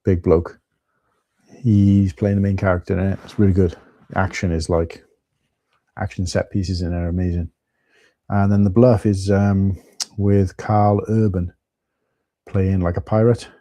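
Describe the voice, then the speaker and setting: A middle-aged man, husky from tiredness, chatting casually and rambling through a live stream with many fillers. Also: sits low, flat delivery, a little slow, pauses often, dark tone, no room sound.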